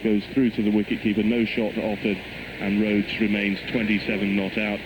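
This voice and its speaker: A man's voice: fairly low pitch, flat delivery, moderate pace, lo-fi sound, noisy background. A man giving live radio cricket commentary, heard over a shortwave broadcast. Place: cricket ground commentary position.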